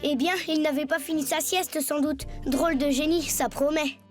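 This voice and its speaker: Woman playing a high-pitched, earnest cartoon schoolboy. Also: fairly even intonation, moderate pace, lightly acted. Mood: puzzled, wishful. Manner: earnest, hesitant, boyish. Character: polite, good-natured young schoolboy with a magic lamp. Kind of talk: French cartoon voice acting. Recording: music behind the voice. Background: music.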